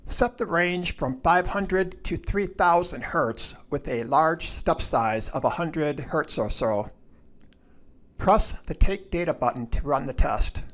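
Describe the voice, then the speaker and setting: Male instructional narrator, slightly high voice, measured procedural delivery for a technical tutorial. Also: expressive, a bit bright.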